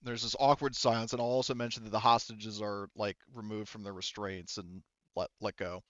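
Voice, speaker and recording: Halting male game master, slightly muffled. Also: lowish voice, level tone, a bit bright, no room sound. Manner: halting, hesitant, rambling phrasing. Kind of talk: improvised tabletop game narration.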